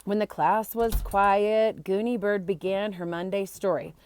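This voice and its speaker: A woman's voice: mid-range voice, steady tone, measured pace, darkish tone. A woman reading a children's book aloud, expressively acting out the characters and adding short explanatory asides. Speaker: storybook reader.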